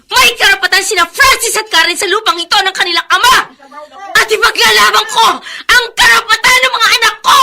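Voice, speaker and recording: A woman's voice: very high voice, a little fast, vocal fry, pushed and bright, heavily acted. A Filipino actress playing a defiant, long-suffering middle-aged mother, emphatic and melodramatic, in a Tagalog radio drama.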